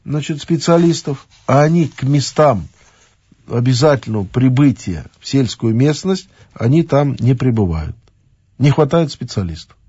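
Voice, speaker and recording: A middle-aged man speaking as a regional official in a halting official address, with frequent fillers and restarts. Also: medium pitch, mellow, slightly rough, no room sound, muffled recording.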